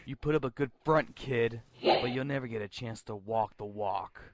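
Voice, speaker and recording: A man's voice: medium pitch, normal speed, heavy background noise, natural voice. A man playing a cocky, sneering henchman in a superhero fan dub, taunting with tough-guy menace. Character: sneering, cocky male henchman and bird handler.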